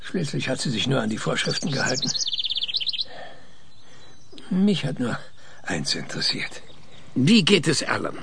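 An actor in a radio drama playing a proud, opinionated old scientist who speaks pompously and searches for words. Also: male voice, medium pitch, sing-song, unhurried, gravelly, pauses often, background noise. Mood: dry, eager. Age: older.

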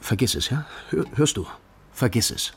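Radio drama informant: East German, fearful, agitated, stammering, very animated pitch, faint background noise. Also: male voice, moderate pace, gravelly. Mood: urgent warning. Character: frightened, sweating East German state informant.

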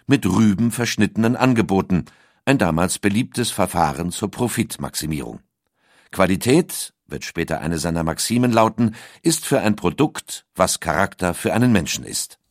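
A man reading a scripted documentary audiobook in a measured, articulate storytelling manner. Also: lowish voice, lively intonation, no room sound, clean studio sound. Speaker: narrator.

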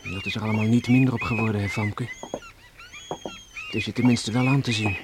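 An actor playing a proud, teasing young sailor who has come home, in a Dutch radio play. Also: male voice, medium pitch, steady tone, a little fast, close to an everyday voice. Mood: reflective. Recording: noisy old radio drama recording.

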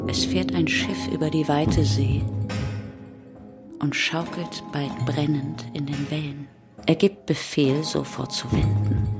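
A woman performing as a grave narrator in a radio play, with measured poetic phrasing and a breathy, half-whispered delivery. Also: low voice, heavily acted. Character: female narrator chanting a grave tale of a plague ship. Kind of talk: literary radio drama narration, incantatory refrains.

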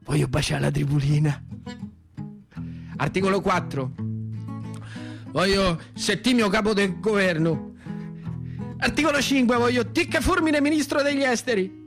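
An actor playing a naive, lame country youth recalling wartime in a theatrical radio monologue, colloquial and repetitive, with a central Italian accent. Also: male voice, high voice, unhurried, creaky, pauses often, music in the background. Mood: fervent, defiant. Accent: central Italian, Lazio. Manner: colloquial, breathless, repetitive, wide-eyed.

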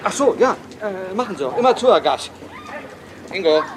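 An actor playing a brisk, bossy film director on location, prompting and instructing in a radio play. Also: male voice, sits high, lively intonation, noisy background. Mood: brisk, directing.

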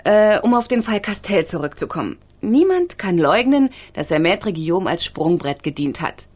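A woman's voice: mid-range voice, sing-song, darkish tone. A woman playing an inquisitive, opinionated newspaper journalist in a radio crime drama, teasing and argumentative. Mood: speculative, keen. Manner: argumentative, speculating briskly.

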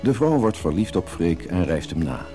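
A man narrating a radio drama serial recap in a calm, formal, scripted way. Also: normal speed, faint music behind. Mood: measured, informative. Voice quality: deep, mellow. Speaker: announcer and hotel clerk.

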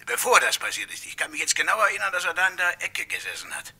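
Barkeeper witness, male, radio crime play. Raspy, swooping higher voice, hesitant and chatty. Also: very animated, fast talker, bright, forceful tone, exaggerated voice. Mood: hesitant, eager. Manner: hesitant, rambling, eager to inform. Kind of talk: German radio crime drama acting.

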